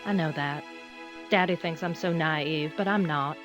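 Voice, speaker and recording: A woman playing a young, earnest and quietly defiant grown daughter in an emotional audio drama. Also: deep voice, measured pace, dull audio, soft background music. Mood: tender.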